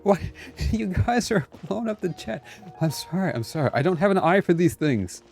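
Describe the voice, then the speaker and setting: A man's voice: high-pitched, sing-song, normal speed, gravelly, darkish tone. A man chatting casually and playfully with viewers as he streams a game, often exclaiming, with game music behind him. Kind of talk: casual live gameplay chat with viewers.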